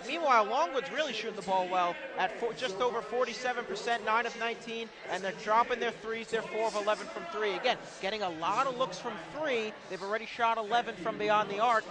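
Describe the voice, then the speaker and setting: Male sports analyst with a high voice, adding stats and observations courtside during a live game. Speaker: color commentator. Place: courtside in an indoor arena.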